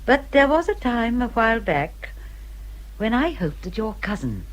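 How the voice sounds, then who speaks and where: A woman's voice: on the high side, very animated, unhurried, poor audio. An actress playing a kindly, practical older English lady of good breeding in a radio drama, genteel and emphatic. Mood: worldly, tactful. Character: well-bred older lady, kindly, practical motherly adviser.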